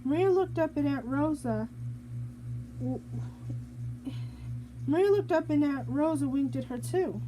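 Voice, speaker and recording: High-voiced woman slowly reading a storybook. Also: expressive, background noise. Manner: halting, stumbles and rereads phrases. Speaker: storybook reader. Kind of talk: reading a children's picture book aloud.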